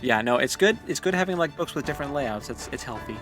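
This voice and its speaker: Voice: medium pitch, animated, fast, over music. A playful, teasing co-host of a book podcast, chatting casually. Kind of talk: casual book-club chat.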